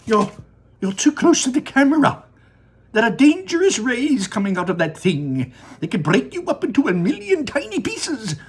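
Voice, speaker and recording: A man voicing an eccentric, excitable male chocolate maker, pitched high with a husky edge and sudden shouts. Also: normal speed, full character voice. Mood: commanding. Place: room in his home without air conditioning.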